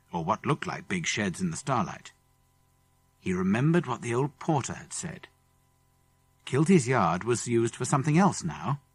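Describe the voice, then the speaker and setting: Male British audiobook narrator, children's adventure; husky tone, very animated, expressive delivery. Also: mid-range voice. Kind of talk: scripted children's story narration.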